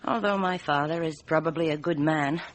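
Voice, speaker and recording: A woman's voice: low-pitched, brisk, lightly acted, poor audio. An actress playing a candid, amused Frenchwoman in her thirties in a radio drama, chatty and hesitant. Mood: wry, candid. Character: candid, amused 34-year-old Frenchwoman, plump bar hostess.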